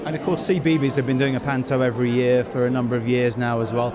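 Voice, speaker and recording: A man's voice: medium pitch, little pitch movement, quick pace. A man hosting a casual backstage podcast interview at a busy event. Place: busy public venue at an awards event. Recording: very loud crowd chatter behind. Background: loud crowd and venue noise.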